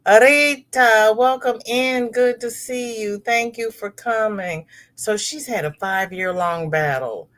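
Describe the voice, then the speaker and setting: A woman's voice: fairly high pitch, takes it slowly, few pauses, fairly bright tone. A middle-aged woman hosting a casual crochet livestream, reading viewer comments aloud and greeting people by name.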